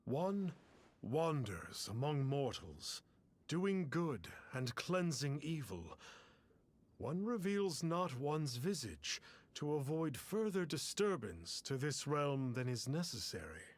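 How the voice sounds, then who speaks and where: A pompous masked false sage. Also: male voice, on the high side, big pitch swings, exaggerated voice. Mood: lofty. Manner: grandiose, archaic and slow. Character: masked pompous fake sage, middle-aged man. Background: game music.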